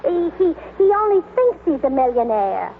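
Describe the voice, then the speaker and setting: High, flustered young wife, tinny. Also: female voice, very animated, moderate pace. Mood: flustered, apologetic. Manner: flustered, stammering, gushing. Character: scatterbrained, eager, childlike young wife, sweetly meddling. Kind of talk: old-time radio comedy acting. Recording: noisy, tinny old-time radio recording.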